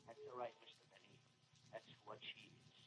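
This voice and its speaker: An actor playing the polite ghost of a violin maker in an old radio comedy. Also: male voice, on the low side, flat delivery, moderate pace, natural voice. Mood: soft, reassuring. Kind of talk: 1940s radio comedy acting. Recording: faint, distant old radio recording.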